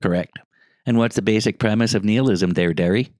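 Man acting a deadpan, blunt farm tough guy. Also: fairly low pitch, a little fast, dry recording, clean studio sound, lightly acted. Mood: deadpan, challenging. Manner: deadpan, curt, rural slang. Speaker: actor in a script table read. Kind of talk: comedy script reading.

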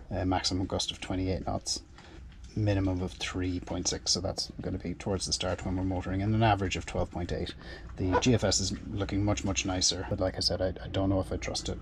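A man's voice: low voice, slight background noise. A solo sailor, a man, explains his plans in a casual, methodical way, thinking aloud and often repeating words, from a small boat. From Ireland. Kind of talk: casual explanatory vlog narration. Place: small boat cabin and engine bay.

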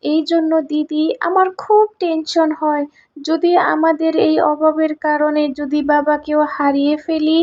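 An anxious, curious little sister in a storybook cartoon , put on by a woman narrator. Her voice is high, with an earnest and childlike delivery. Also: level tone, a little slow, a little mellow, lo-fi sound.

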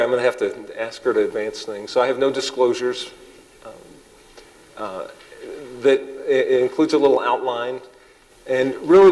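A man in his thirties, a cardiologist, giving a public lecture to an audience in a large hall. He has a loud voice and talks haltingly, with many ums and restarts. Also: mid-range voice. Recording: long hall reverb.

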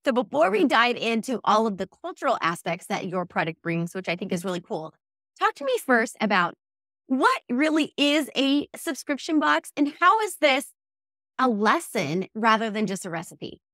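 Young woman, podcast host, enthusiastic, slightly high voice, dry close mic. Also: sing-song, moderate pace, a bit bright, no echo. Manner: enthusiastic, upbeat. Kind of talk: friendly interview hosting. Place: bright indoor room. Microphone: headset and studio mic.

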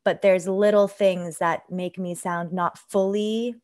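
A woman's voice: mid-range voice, fairly even intonation, slow pace, a little mellow, no room sound, studio quality. A woman who grew up in Japan, an actress on a video call, chatting casually with frequent fillers. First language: English. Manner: chatty, lots of "like". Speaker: actor.

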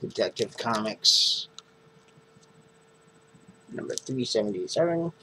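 Slow, low-voiced man showing comics. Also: lively intonation, sharp tone, slight background noise, low-quality recording. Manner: slow, plain listing of items. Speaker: comic collector vlogger. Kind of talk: casual show-and-tell vlog. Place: small bedroom, indoors. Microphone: webcam at close range.

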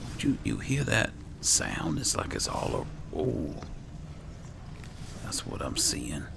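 A man with a gravelly voice giving casual gameplay commentary, muttering to himself as he thinks aloud. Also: huge pitch swings, slow. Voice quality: gravelly, very low voice. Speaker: video game player and narrator. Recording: noisy recording with game ambience.

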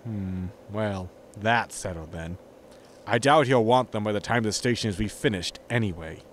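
An actor playing a grumpy, money-minded spaceship captain, impatient and sardonic, in a sci-fi comedy audio drama. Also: male voice, fairly low pitch, moderate pace, a little hiss or hum, close to an everyday voice. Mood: brusque.

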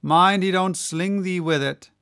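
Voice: medium pitch, unhurried, fairly bright tone. An audiobook reader voicing a stern, indignant first mate of a whaler, intense and commanding. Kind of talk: audiobook character voice.

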